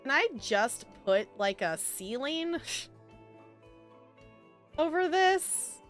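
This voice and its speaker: Girl streamer chatting away over a sims-style game, kinda high voice, bit of music in the back. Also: very animated, extremely slow. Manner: chatty, rambling, talks to viewers and game characters. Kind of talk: casual live stream commentary on a life simulation game.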